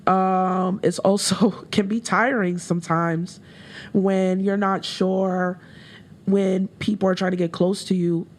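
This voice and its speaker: A woman rapper with a deeper voice, talking candidly as a guest in a casual interview, with frequent fillers. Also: fairly even intonation, takes it slowly. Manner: candid, reflective, with laughs and many fillers.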